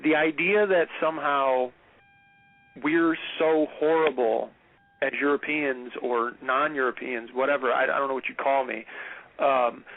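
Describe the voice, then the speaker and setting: A man calling in to a talk radio show, arguing his point in an animated, stammering way. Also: medium pitch, measured pace. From United States. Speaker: caller. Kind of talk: call-in radio discussion. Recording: tinny dry phone line.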